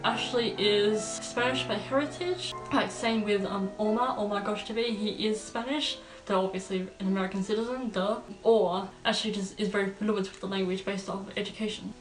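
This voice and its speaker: A woman hosts a reaction video in a casual, rambling way. Her voice sits at a medium pitch in an echoey room, a little away from the mic. Faint music plays behind her chatty asides. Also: fairly even intonation, a little fast, few pauses. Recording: roomy echo, distant mic, faint music.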